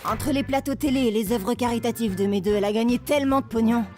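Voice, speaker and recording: A woman's voice: a little high, quick pace, darkish tone, faint music behind, lightly acted. A woman playing a bitter vengeful teacher. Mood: sarcastic. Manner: sarcastic, bitter narration. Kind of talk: amateur fan dub of an animated series.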